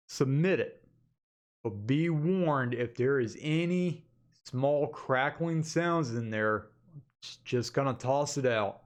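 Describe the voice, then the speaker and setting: Man giving a casual channel update. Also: medium pitch. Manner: informal, addresses viewers as "guys" repeatedly. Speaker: animation channel host. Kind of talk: casual webcam update to viewers. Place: indoor home office. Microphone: desktop USB condenser microphone.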